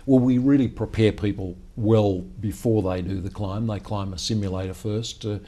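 A man in his sixties answers questions with a measured, storytelling manner, often starting with "well, look". His voice is low and mellow. He is recorded on a lapel mic in a high-rise office meeting room. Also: fairly even intonation. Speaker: company founder and chief executive. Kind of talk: business interview.

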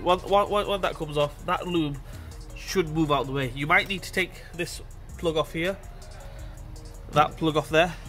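A man's voice: fairly high pitch, a little slow, fairly bright tone, faint music behind. Stuffy-nosed man chatting through a car repair. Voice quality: stuffy blocked nose. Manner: chatty, informal, addresses viewers as guys. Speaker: mechanic presenting a repair video. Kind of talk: casual how-to commentary while working.

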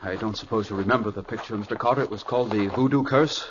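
A muffled, slightly noisy old radio recording of a man acting a rattled studio owner. He talks quickly, repeating himself nervously. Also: fairly low pitch, close to an everyday voice. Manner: nervous, stammering repetitions. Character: rattled, superstitious male movie studio owner. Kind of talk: 1940s radio drama acting.

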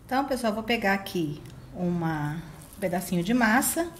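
A woman's voice: medium pitch, lively intonation, normal speed, reverberant, slight background noise. A middle-aged Brazilian woman presenting a recipe step by step to viewers in a home kitchen. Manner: simple step-by-step explaining, addressing viewers directly. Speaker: cooking channel host. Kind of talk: recipe demonstration.

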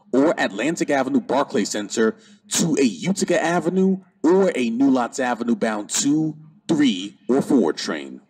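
Male transit announcer, mid-pitched with a husky edge, formal scripted service notices, measured delivery.

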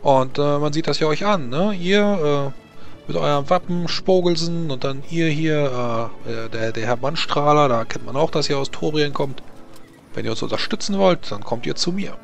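A man playing a pushy provincial blacksmith touting his forge in halting sales patter, in a tabletop role-play. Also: a little high, vocal fry, soft background music. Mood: insistent.

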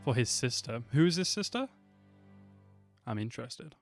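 A man's voice: mid-range voice, very animated, a little slow, music in the background. A man in his twenties giving casual, enthusiastic, slangy reaction commentary into a close microphone. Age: 20s. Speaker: reaction video host. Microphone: close desk microphone, headphones on.

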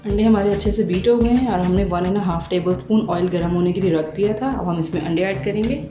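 A woman's voice: medium pitch, quick pace, over music. Woman narrating a recipe, echoey. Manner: steady step-by-step instructing. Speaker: cooking channel host. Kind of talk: recipe voice-over tutorial. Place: home kitchen.

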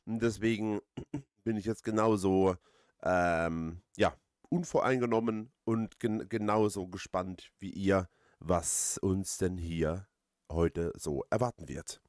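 A man giving casual, halting gameplay commentary as a let's player. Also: sits low, darkish tone, no echo, clean studio sound. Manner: hesitant, halting, frequent drawn-out pauses.

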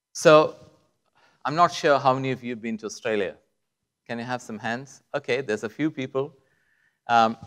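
A man's voice: mid-range voice, clean tone. A man in his fifties, born in Kuwait to South Indian parents, with a mixed Indian and Middle Eastern accent, giving a friendly, lightly humorous talk at a podium mic in a large auditorium. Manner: friendly storytelling with light humour. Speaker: physician giving a testimony talk. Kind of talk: conference talk.

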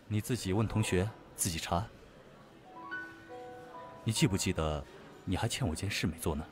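A man's voice: sits low, moderate pace, music in the background, natural voice. A man playing a self-assured male student in an audio drama, teasing and coaxing the one who likes him. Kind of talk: amateur Chinese audio drama acting.

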